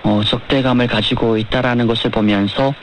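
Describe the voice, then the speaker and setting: A man's voice: mid-range voice, fairly even intonation, a little fast. Man on noisy shortwave radio. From North Korea. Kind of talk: measured radio talk and letter reading.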